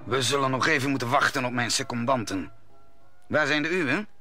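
Bright, haughty musketeer. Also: male voice, mid-range voice, lively intonation, a little fast, faint music behind. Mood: composed. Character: touchy, haughty male musketeer, formidable and composed. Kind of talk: audio drama acting.

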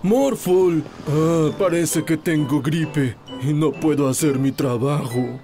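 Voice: medium pitch, slow pace, mellow, lightly acted. An actor playing an adult townsman who delivers vehicles in a children's cartoon dub, with music underneath. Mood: weary, apologetic.

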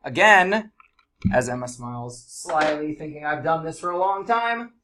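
A man with congested allergies, teaching a high school math class in a casual, chatty way. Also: expressive, a little slow, background noise. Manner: casual, chatty explaining with fillers. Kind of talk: classroom lesson.

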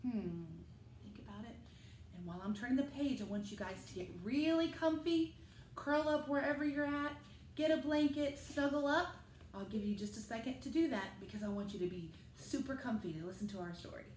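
A middle-aged woman, a school teacher, reading a picture book aloud to children and speaking to them directly in an animated way. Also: a little high, a little fast. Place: living room at home, indoors. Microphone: camera a few metres away. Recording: echoey room with faint noise.

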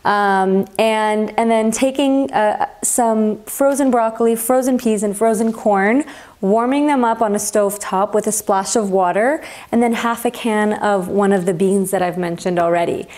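A young woman talks straight to camera from her home kitchen, chatty and upbeat, her hands moving as she shows off groceries. Her voice sits at an easy middle pitch and comes through close and clean on a clip-on mic. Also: measured pace, darkish tone. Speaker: healthy eating vlogger. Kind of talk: casual talk to camera. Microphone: clip-on lapel mic.

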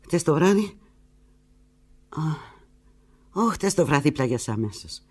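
Αγωνιώδη ερωτευμένη γυναίκα στο τηλέφωνο, με μεγάλες διακυμάνσεις. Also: female voice, deep voice, big pitch swings, a little mellow, slightly rough, exaggerated voice. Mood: subdued, tender. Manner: pleading, fretful, halting telephone replies. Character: anguished, lovelorn woman alone on the telephone. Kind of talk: theatrical radio monologue.

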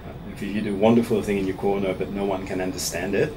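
A man from Switzerland in his thirties, a professor answering interview questions in a small office in a measured way, often restarting his words. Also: low voice, level tone. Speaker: actuarial professor being interviewed. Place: small office meeting room, seated at a table. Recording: room recording with audible air conditioning hum.

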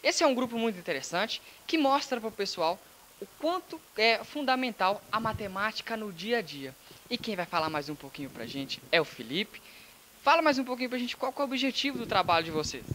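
A man's voice: high-pitched, very animated, brisk, pushed and bright, slight background noise, low-quality recording. A young man, a student reporter, introducing groups at a school fair with a handheld mic. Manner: announcing, scripted. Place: school fair, indoor stands.

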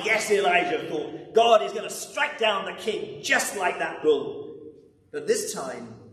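An older man preaching a sermon as dramatic storytelling, moving between loud swells and hushed, quiet phrases. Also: sits high. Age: 60s or older. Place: large church interior, at lectern. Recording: roomy echoing church, distant, background noise.

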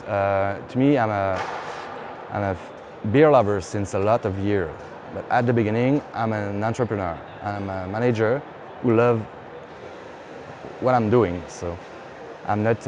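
A man in his thirties with a Quebec French accent, speaking English as a second language in halting sentences full of fillers, interviewed as a brewery founder. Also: lowish voice. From Quebec, Canada. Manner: halting, many fillers and restarts. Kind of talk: on-camera interview answer. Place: indoor beer festival hall. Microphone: lapel mic. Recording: hall noise behind.

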